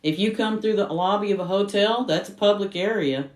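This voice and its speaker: A woman's voice: mid-range voice, fairly quick, distant mic. A woman co-hosts a casual storytelling chat in an echoey room. She sounds a little away from the microphone. Her delivery is blunt and informal, like someone recounting work to friends. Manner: blunt, informal, quotes herself. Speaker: private investigator, co-host.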